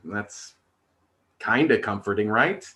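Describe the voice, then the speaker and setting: A man's voice: lowish voice, lively intonation, slow pace, sharp tone, full character voice. A man acting a flustered, awkward middle-aged office-working husband and dad in a comedy script read, hesitant and sheepish.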